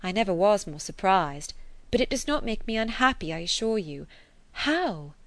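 A woman reading the lines of a lively young heroine in an audiobook, quick and eager, full of exclamations. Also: mid-range voice, no room sound, natural voice. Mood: astonished.

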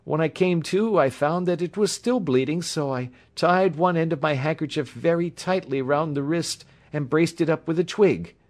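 A man voicing a polite, agitated young engineer in his twenties, breathless and excitable, in an audiobook story. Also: on the high side, moderate pace, hardly any pauses, pitched above the performer's own voice, close to an everyday voice. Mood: grimly earnest. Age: mid-twenties. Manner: courteous, excitable, shaky, breathless. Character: pale, agitated young male engineer, wounded, hysterical, polite.

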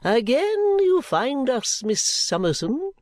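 A reader voicing a pompous, self-admiring elderly gentleman in an audiobook, with florid, grandiose delivery and theatrical airs. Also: sits high, big pitch swings, slow pace, dry recording, voice pushed up, heavily acted. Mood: gushing, affected. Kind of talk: audiobook character voice.

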